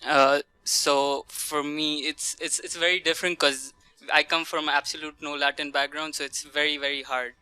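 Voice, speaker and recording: Young male student panelist, high-pitched and crisp, giving a casual testimonial. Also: level tone, moderate pace, sharp tone. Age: young adult. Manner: chuckles while talking.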